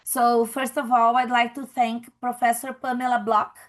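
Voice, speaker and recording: A middle-aged Brazilian woman, an anthropologist speaking English with a Brazilian Portuguese accent at a panel talk, halting often with restarts and fillers. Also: a little high, flat delivery.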